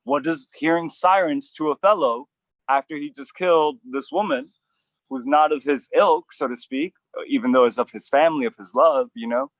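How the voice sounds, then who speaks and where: Animated man coaching an actor. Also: a little high, moderate pace, vocal fry, no echo, low-quality recording. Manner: animated, emphatic, with frequent restarts and casual "y'all". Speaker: acting coach and host. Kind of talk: acting coaching session. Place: outdoors.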